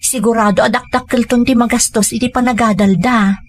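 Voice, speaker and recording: A woman's voice: on the high side, steady tone, a little fast, slight background noise, natural voice. A woman with an Ilocano accent playing a humble, determined married daughter and mother in an audio drama. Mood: earnest, reassuring.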